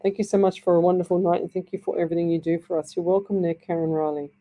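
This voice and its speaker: A woman's voice: on the low side, level tone, rapid-fire, dark tone. A woman with an Australian accent hosting a live radio show as a psychic medium, chatting in a rambling, reassuring way with a dry, scratchy throat. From Northern Ireland. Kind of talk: live radio chat reading messages. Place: radio studio.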